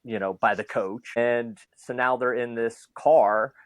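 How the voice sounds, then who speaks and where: A man's voice: medium pitch, steady tone, unhurried, no echo. A man hosting a podcast, talking conversationally and building long, reflective questions. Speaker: podcast host. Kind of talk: conversational interview.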